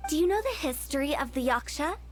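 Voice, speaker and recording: A woman's voice: sits high, expressive, quick pace, a little hiss or hum. A woman voicing a playful young female funeral parlor director in a video game, breezy and teasing as she explains things. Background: game music.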